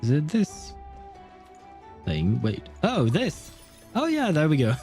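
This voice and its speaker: A man's voice: fairly high pitch, huge pitch swings, takes it slowly, darkish tone, soft background music. A young man casually commenting on his gameplay, chatty, laughing often and swearing. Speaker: game streamer.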